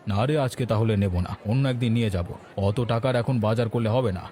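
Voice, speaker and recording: A man playing a calm, thrifty village man in a cartoon, giving reassuring advice. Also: fairly low pitch, a little fast, a faint crowd, close to an everyday voice. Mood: haggling. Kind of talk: cartoon voice acting, folk-tale dialogue.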